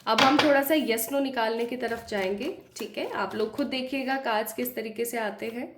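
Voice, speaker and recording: A woman with a cold, sounding a bit hoarse, giving a chatty tarot reading and talking straight to her viewers. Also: on the high side, moderate pace, echoey room, slight background noise. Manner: chatty, addresses viewers directly, mixes English phrases. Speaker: tarot reader. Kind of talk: pick-a-pile tarot reading to camera.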